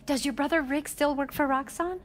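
An actress playing a warm, spirited middle-aged mother in a video game. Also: high-pitched, steady tone, measured pace. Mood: warm, curious. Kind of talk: naturalistic video game acting.

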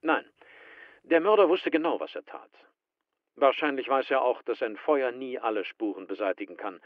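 Actor doing gruff forensics guy in a radio crime play, few words, pitch swings a lot, muffled lo-fi. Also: lively intonation, moderate pace, natural voice. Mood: terse, grim. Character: taciturn, gruff male forensic technician. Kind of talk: crime radio drama dialogue.

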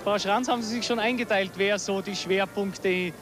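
A male television reporter covers an outdoor event in an archive report. His voice is high, and music plays clearly behind him. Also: fairly even intonation, moderate pace, a bit bright. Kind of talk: live event reporting and interviewing.

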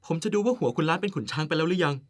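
A man's voice: a little high, very fast, natural voice. A man playing a caring, nagging, playful partner of an older man in an audio drama, polite yet chiding. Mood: concerned, chiding. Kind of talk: Thai audio drama acting.

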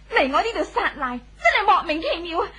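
A haughty young wife in an old radio play, her voice high. She is cool, aloof and cutting, with open scorn in her delivery. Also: sing-song, moderate pace, a bit bright, exaggerated voice. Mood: indignant, mocking. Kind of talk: 1970s radio drama acting. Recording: old 1970s radio broadcast.

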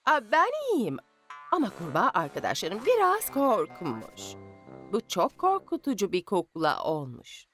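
Gentle cartoon turtle queen: high voice, hugely lively intonation, gracious and encouraging, music behind. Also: unhurried. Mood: kind, reassuring. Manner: warmly encouraging, ceremonious. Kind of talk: children's cartoon dubbing.

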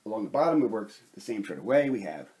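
A man's voice: fairly low pitch, sing-song, fast, mellow, husky. A man narrating a methodical, step-by-step whiteboard lesson as a science lecturer, explaining each point in turn. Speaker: chemistry lecturer.

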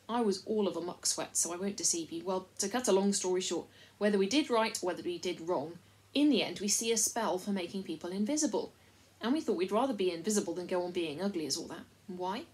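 A woman's voice: on the low side, fast talker, a little mellow, natural voice, rough recording. A woman voicing a self-important invisible male chief of servants in a storybook, folksy, repetitive and rambling. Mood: rambling, confiding. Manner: folksy, repetitive, long-winded.

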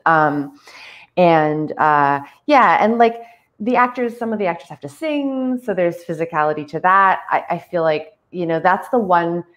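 A woman's voice: deep voice, fairly bright tone. A woman talking casually as a theatre artist on a panel, speaking over a video call from a room at home.

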